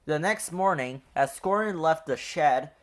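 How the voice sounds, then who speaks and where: A man reading a children's storybook aloud in an expressive storytelling style. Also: fairly high pitch, measured pace. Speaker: children's book reader. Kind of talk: animated storybook read-aloud.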